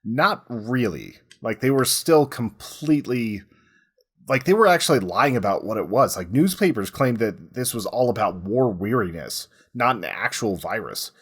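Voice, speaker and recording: A man hosts a history podcast in a somewhat low register. His voice has a husky grain, and he tells the story in a conversational, informal way. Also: big pitch swings, moderate pace. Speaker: podcast host.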